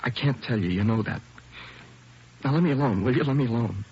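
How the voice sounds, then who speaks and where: A man's voice: mid-range voice, brisk, a little mellow, slightly rough. An actor playing a frightened, knifed teenage boy who pleads in a rising, panicky voice in a 1940s radio drama. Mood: pleading, panicked. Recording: muffled old radio broadcast.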